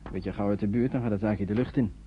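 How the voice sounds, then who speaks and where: A man's voice: fairly low pitch, fairly even intonation, quick pace, close to an everyday voice. A resigned soldier played by a male actor speaks in clipped, practical phrases. The radio play sounds dull and lo-fi, with slight background noise. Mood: brisk, practical. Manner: matter-of-fact, clipped phrases with tag questions. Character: plain-spoken, resigned English soldier. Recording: dull old recording, faint hiss.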